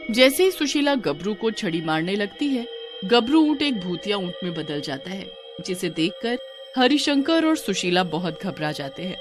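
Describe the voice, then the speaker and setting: A woman's voice: mid-range voice, big pitch swings, normal speed, over music. A woman giving scripted storytelling narration as the narrator of a tale.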